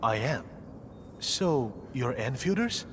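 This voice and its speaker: A man's voice: mid-range voice, lively intonation, takes it slowly, fairly bright tone. A man playing a weary, matter-of-fact camp surgeon in a video game, dry and understated. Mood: weary, measured. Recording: noisy ambience behind.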